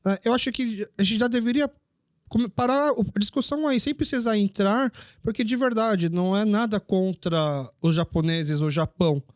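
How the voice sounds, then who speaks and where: High-voiced man, animated storyteller. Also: moderate pace, no room sound, clean studio sound. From Brazil. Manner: animated storyteller who acts out dialogue. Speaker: podcast host. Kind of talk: casual conversational interview.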